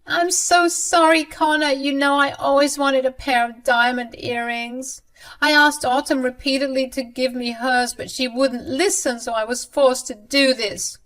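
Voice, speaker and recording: A woman doing a shrill scheming mother-in-law. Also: fairly even intonation, a little slow, a bit bright. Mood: whining. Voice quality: high, shrill and pleading. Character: scheming older mother-in-law, whiny and self-pitying.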